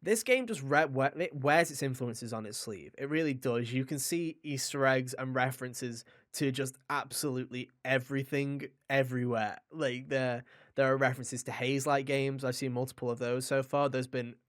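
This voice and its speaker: A man co-hosting a gaming podcast, chatting casually and enthusiastically in an animated, rambling way. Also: medium pitch, normal speed, dry recording, clean studio sound. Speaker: podcast co-host reviewing a video game.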